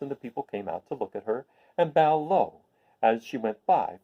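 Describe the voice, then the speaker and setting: A man reading a storybook aloud as a host, in an expressive, explanatory manner. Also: mid-range voice, rough recording. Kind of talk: expressive storybook read-aloud with informal introduction.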